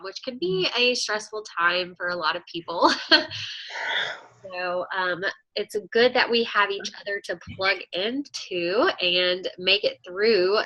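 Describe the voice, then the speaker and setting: Woman, fitness coach, group call host, bright voice, rambling, fillers, slightly congested. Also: lowish voice, no room sound, rough recording. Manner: chatty, rambling with many fillers. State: congested, recovering from a head cold. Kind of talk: casual group video chat.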